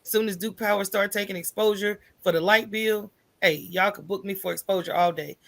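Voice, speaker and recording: An American woman with a creaky, forceful voice speaks as a podcast guest. She tells personal stories in a loose, candid conversational style and often tags her points with a checking "right?". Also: medium pitch, fairly even intonation, brisk. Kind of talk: conversational personal storytelling in an interview.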